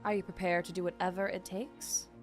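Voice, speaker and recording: A woman streamer giving casual, expressive and gushing reactions while playing a game. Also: lowish voice, brisk. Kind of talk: casual gaming reaction commentary. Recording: game music behind.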